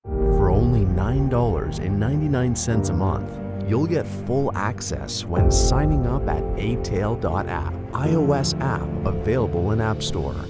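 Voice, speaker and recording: A man's voice: fairly low pitch, animated, a little slow. A man doing a scripted promotional read as an advert announcer. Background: loud music.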